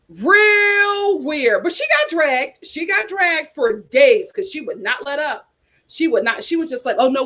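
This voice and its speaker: Sassy, animated woman chatting. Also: a little high. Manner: animated, sassy commentary reading live chat; uses casual slang like "child" and "y'all". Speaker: host. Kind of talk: casual chat.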